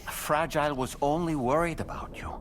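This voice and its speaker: An actor playing a calm, gentle male companion and therapist in a dramatic game cutscene, speaking softly and deliberately. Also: a little high, a little hiss or hum, lightly acted. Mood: grave, compassionate.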